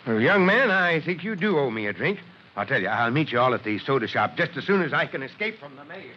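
An actor playing a gruff but kindly middle-aged fire chief in a 1940s radio drama, speaking emphatically. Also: male voice, on the high side, sing-song, brisk. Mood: gruff, frank. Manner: emphatic, oratorical. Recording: noisy, dull vintage radio recording.

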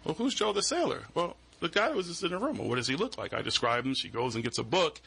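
Gravelly, husky man in his forties. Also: on the high side, expressive, quick pace, sharp tone. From Boston. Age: 40s. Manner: rambling, restarts often, acts out quoted voices. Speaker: guest, security business owner. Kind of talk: casual storytelling interview. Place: podcast studio.

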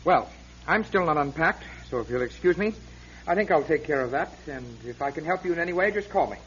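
An actor playing a polite, awkward young priest in a radio drama, earnest and slightly husky, on a muffled recording. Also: male voice, a little high, expressive, a little fast, a bit bright, a little hiss or hum. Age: young adult.

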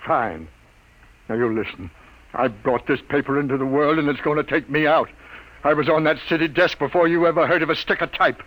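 An actor playing a gruff, sardonic newspaper city editor in his fifties, with wry, world-weary delivery in an old radio drama. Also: on the high side, a little fast, fairly bright tone, close to an everyday voice, poor audio. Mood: stubborn. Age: 50s. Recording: vintage radio drama recording.

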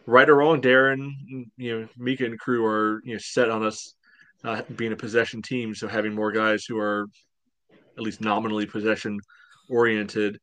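A man's voice: lowish voice, little pitch movement, moderate pace, fairly bright tone, no room sound. A man giving casual game analysis as a podcast co-host, rambling and hedging as he goes. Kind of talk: casual sports analysis chat.

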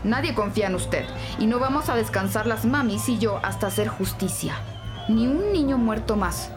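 A woman's voice: on the high side, expressive, normal speed, close to an everyday voice. Indignant activist mother, acted by a woman. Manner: insistent, accusatory. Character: indignant, pushy woman leading a school parents' committee. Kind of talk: Spanish-language audio crime drama acting. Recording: music bed behind the voice.

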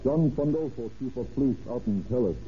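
A man's voice: medium pitch, brisk, natural voice. Actor as male foreign official, formal, clipped message reading, tinny vintage radio drama, some hiss. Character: foreign port captain and police chief replying by cable. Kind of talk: old-time radio drama acting. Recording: tinny, noisy old radio broadcast.

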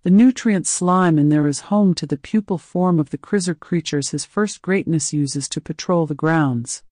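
A woman's voice: deep voice, normal speed, a little mellow, no room sound, studio quality. A woman reading an audiobook, voicing a massive male alien slave keeper who is genial, mocking and coolly menacing. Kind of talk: audiobook character voice.

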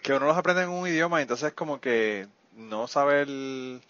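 A man's voice: mid-range voice, fairly even intonation, moderate pace, a bit bright, dry recording. A man hosting a casual conversation, friendly and given to laughter and rambling asides. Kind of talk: casual interview chat. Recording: muffled lo-fi dry audio.

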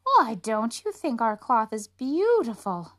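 A woman voicing scheming male swindlers who flatter in slow, drawn-out tones. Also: fairly high pitch, voice pushed up, full character voice. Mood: gushing.

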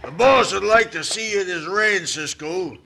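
A man's voice: high voice, unhurried, pushed and bright, soft background music, exaggerated voice. An actor playing a shifty henchman in an old-time radio western. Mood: sullen.

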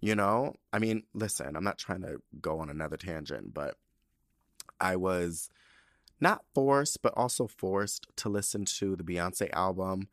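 Animated, profane man in his thirties. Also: deep voice, a little slow, no echo, clean studio sound. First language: not Spanish. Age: 30s. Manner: animated and profane, with loose, rambling asides. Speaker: podcast host. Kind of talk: casual solo podcast talk.

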